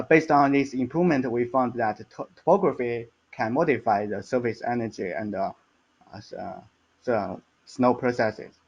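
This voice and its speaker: A man giving a technical slide presentation as a research scientist, halting and hesitant, with frequent stumbles and repeats. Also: mid-range voice, muffled recording.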